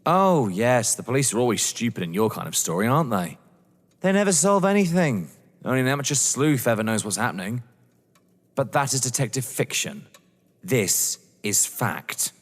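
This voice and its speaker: A man's voice: mid-range voice, sing-song, moderate pace. Guy doing young brit lover in a radio play, sceptical n cheeky, super swoopy voice, echoey hall. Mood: suspicious, challenging. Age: young adult. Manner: sceptical, blunt, questioning. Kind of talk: theatrical audio drama acting.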